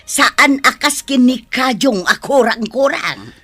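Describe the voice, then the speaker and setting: Sharp, high-voiced scheming mother. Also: lively intonation, slow, husky, no room sound, faint music behind, heavily acted. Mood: goading. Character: scheming village mother, sharp and goading toward her son. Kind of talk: Philippine radio drama acting.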